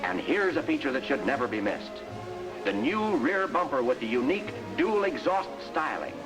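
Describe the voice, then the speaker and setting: Male actor as bossy sales trainer, middling pitch, emphatic instructive delivery, 1950s training film soundtrack, music behind. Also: sing-song, normal speed, lightly acted, poor audio. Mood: enthusiastic instruction.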